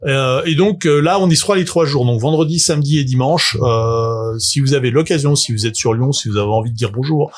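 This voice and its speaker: A man's voice: medium pitch, fairly quick, hardly any pauses. A man, rambling through a casual livestream full of fillers and self-mocking asides. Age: 40s. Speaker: tabletop game author and streamer.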